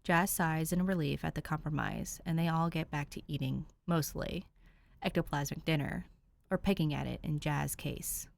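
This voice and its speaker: A woman reading fan fiction aloud, narrating with an animated storytelling manner. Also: low-pitched, moderate pace, creaky. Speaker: podfic reader.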